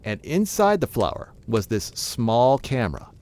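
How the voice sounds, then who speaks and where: An actor playing a scheming, pompous crime boss with deliberate menace in an audio drama. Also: male voice, medium pitch, sing-song, darkish tone. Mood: menacing. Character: smooth, scheming male criminal mastermind. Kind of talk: audio drama villain acting.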